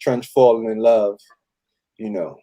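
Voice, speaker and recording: A man's voice: lowish voice, flat delivery, unhurried, mellow, lo-fi sound. A man talking loosely and slangily as a guest in a casual group interview, often dropping in "you know". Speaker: audio producer and cast member being interviewed.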